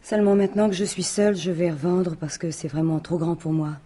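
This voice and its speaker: An actress playing a proper, easily flustered widow in a French radio mystery in 1950s style, speaking formally and emphatically. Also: female voice, lowish voice, a little fast, warm tone, natural voice. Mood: flustered, polite. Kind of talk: French radio drama acting in 1950s manner.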